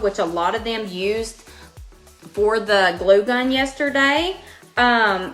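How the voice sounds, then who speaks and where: Woman, Western Kentucky Southern accent, bright voice, folksy craft host, casual live chat, faint music behind. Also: medium pitch. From Western Kentucky, United States. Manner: chatty, folksy, informal. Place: indoors at a home craft table.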